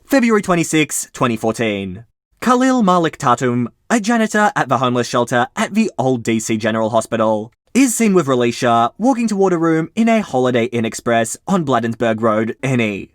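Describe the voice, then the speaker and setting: A man reads mystery posts aloud for his channel, his voice rising and falling with lots of movement while staying mid-pitched. He drops in casual asides between the facts. The sound is close and dry, with no room around it. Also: fairly quick, no room sound. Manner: lively storytelling delivery. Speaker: channel host reading posts.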